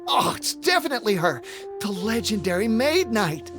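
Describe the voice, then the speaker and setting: A woman voicing an excitable, impressionable townswoman in a video game, exclaiming and easily awed. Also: mid-range voice, moderate pace, music in the background, lightly acted. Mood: starstruck. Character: excitable, impressionable female townsperson in story audience.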